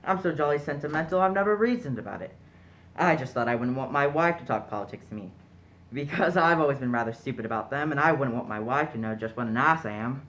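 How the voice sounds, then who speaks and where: A man's voice: medium pitch, expressive, fast, fairly bright tone, close to an everyday voice. A man playing a genial, naive upper-class young suitor in a radio play, jolly and self-deprecating. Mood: sheepish, genial. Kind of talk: stage-style radio play acting.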